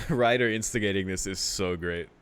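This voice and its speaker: A man's voice: on the low side, moderate pace, a bit bright, clear, resonant tone. A man hosting a let's play, reading a visual novel aloud with informal, amused commentary. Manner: amused, sweary, informal asides.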